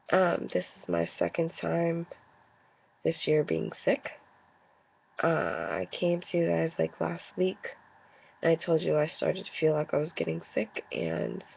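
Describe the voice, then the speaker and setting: A woman in her thirties with a cold, talking through a blocked nose in a casual, rambling video rant. Her voice crackles with deep fry and a husky, raspy grain. She sounds frank and a bit defensive, and the recording is dull and lo-fi. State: congested from a cold. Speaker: vlogger.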